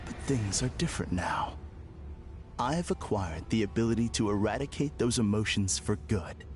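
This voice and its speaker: A man's voice: lowish voice, slight background noise, close to an everyday voice. A man playing an eerily serene, polite villain. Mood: serenely polite. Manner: calm, formal, measured and courteous. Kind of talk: video game cutscene dub.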